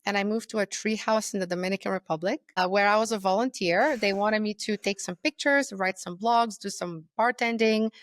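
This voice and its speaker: A young woman from Bulgaria, an interview guest telling her story in an animated, self-deprecating way. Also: medium pitch, a little fast, hardly any pauses, no echo, clean studio sound.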